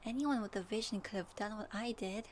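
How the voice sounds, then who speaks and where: A woman playing an earnest, gently wry young traveler in an ASMR audio roleplay, soft and thoughtful. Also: medium pitch, a little fast, close to an everyday voice. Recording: faint noise and ambience.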